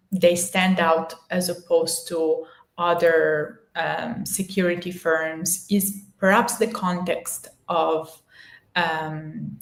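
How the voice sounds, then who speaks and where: A woman hosts an interview in a slow, unhurried voice, a little low-pitched. She pauses with ums between her phrases. Her voice rings in an echoey room. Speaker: host. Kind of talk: hosting a live audio interview.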